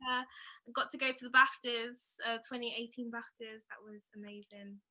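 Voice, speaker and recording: A woman's voice: a little high, fairly even intonation, normal speed, sharp tone. A woman in her twenties from London, with a London accent, talking casually as an interview guest. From London, England. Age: 20s. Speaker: interview guest, drama graduate. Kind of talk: casual conversational account.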